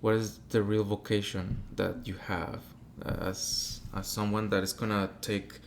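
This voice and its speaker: A low-pitched man with a Mexican Spanish accent and a gravelly, creaky voice, speaking as an interview guest. He is a native Spanish speaker who talks reflectively and acts out the voices of people in his stories. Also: flat delivery, unhurried, a little hiss or hum. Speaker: guest, opera tenor. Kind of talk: casual interview storytelling.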